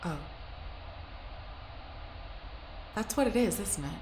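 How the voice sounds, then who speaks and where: An actress playing an anxious, sharp-tongued young woman in a sci-fi audio drama, speaking bluntly and informally. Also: mid-range voice, lively intonation, fast, natural voice. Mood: probing. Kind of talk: audio drama acting, naturalistic dialogue. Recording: roomy echo, faint background noise.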